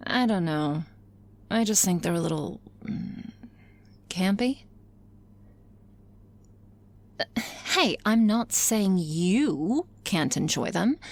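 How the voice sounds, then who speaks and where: Girl doing a confident sweary office boss lady for asmr roleplay, lowish creaky voice, wry and blunt, up close chatty. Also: a little mellow, exaggerated voice. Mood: teasing, wry. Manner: frank, profane, wry, musing with hesitant pauses. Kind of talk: intimate ASMR roleplay, conversational monologue to listener.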